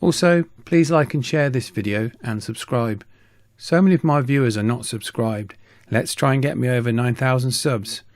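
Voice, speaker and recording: Man, middle-aged, medium pitch, scripted narration, video host. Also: normal speed, dry recording. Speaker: YouTube host, radio hobbyist.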